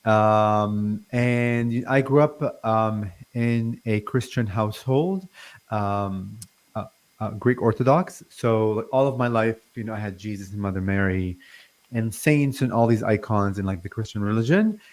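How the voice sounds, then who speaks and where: A man in his forties, born in Lebanon, talking as a guest on a call-in interview; he stutters and speaks haltingly with many fillers and restarts. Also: fairly low pitch. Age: 40s. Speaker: guest channeler. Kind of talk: casual interview talk.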